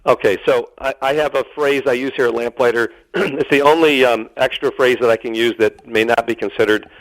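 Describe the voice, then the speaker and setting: A man's voice: mid-range voice, steady tone, fairly quick, fairly bright tone, no echo, low-quality recording. A middle-aged American man telling dramatic anecdotes as an interview guest, quoting dialogue as he goes. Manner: dramatic storyteller, quotes dialogue.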